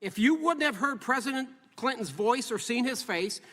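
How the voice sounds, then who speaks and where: A man's voice: extremely high pitch, animated, normal speed, fairly bright tone, big-room sound. A politician giving a formal, emphatic speech, firing rhetorical questions at his listeners.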